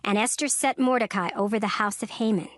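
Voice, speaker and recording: A woman narrating a dramatized scripture reading in a measured, formal manner. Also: mid-range voice, a bit bright, dry recording, studio quality. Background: music.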